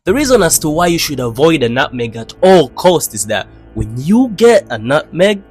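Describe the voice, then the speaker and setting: A young man from Tanzania, telling funny childhood stories in a slangy, animated way. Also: fairly high pitch, moderate pace, soft background music. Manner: animated, slangy, playful delivery with dramatic swings. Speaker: animator narrating his own channel. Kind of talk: comedic storytime narration.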